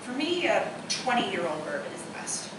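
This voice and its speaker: A woman's voice: mid-range voice, big pitch swings, moderate pace, natural voice. A woman playing a witty, opinionated single woman who loves bourbon, in live radio-play dialogue. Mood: savoring, explaining. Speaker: stage actor. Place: live theatre performance space. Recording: echoey, noisy live recording from far off.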